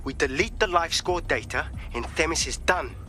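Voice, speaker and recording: A man's voice: on the high side, normal speed, bright, forceful tone. A man playing an agitated, regretful tech developer in a video game, blunt, slangy and emphatic. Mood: earnest, determined.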